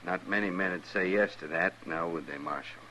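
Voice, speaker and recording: An actor playing a calm, guarded miner in an old radio western, American, plain-spoken and unruffled. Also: male voice, low voice, moderate pace. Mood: guarded, cool. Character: calm, evasive miner who refuses to fight. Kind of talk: old-time radio drama acting. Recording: faintly noisy old radio recording.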